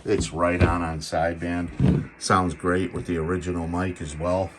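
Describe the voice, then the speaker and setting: A man's voice: low voice, flat delivery, measured pace, background noise. A man giving a casual, plain-spoken product demo in the style of a seller's vlog. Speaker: radio seller demonstrating gear. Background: CB radio running in the background.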